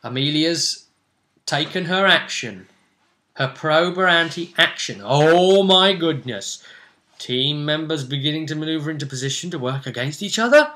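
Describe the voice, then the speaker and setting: A man's voice: on the high side, animated, normal speed, bright, forceful tone. A man chatting casually as a game master, recapping a tabletop role-playing session and acting out bits of dialogue as he goes. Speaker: game master and video host.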